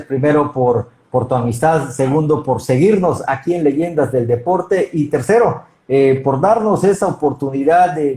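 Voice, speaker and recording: A man's voice: fairly high pitch, warm tone. A man hosting an online interview, effusive, repeating and restarting phrases. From Venezuela. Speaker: interview host. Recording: roomy echoey audio.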